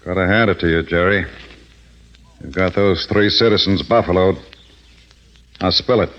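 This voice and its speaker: An actor playing a tough, suspicious gambling boss in a 1950s radio drama, gruff, blunt and slangy. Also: male voice, sits low, moderate pace, close to an everyday voice. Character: tough, suspicious male gambling-racket boss under indictment. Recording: dull, muffled old radio recording.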